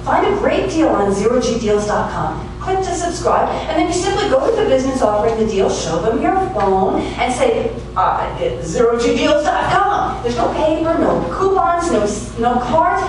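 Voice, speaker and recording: Woman pitching ads, very echoey. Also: expressive, normal speed, slight background noise. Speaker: announcer in radio theater ads. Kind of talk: scripted ad pitch. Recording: echoey distant recording, loud music behind.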